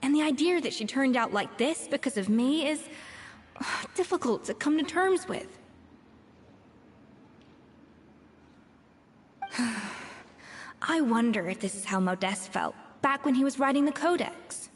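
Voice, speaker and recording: A woman's voice: sits high, lively intonation. An actress voicing a proud, troubled young female chef in a video game, reflective and articulate. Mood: wistful, regretful. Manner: measured, articulate, pensive storytelling. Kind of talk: scripted video game voice acting. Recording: long reverb, music behind. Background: game music.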